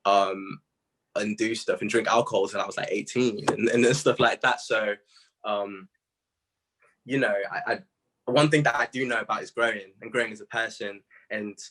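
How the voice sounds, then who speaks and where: Bright-voiced young British man, low-pitched. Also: steady tone, moderate pace. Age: late teens. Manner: slangy, candid and self-reflective. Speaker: musician being interviewed. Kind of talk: casual interview chat.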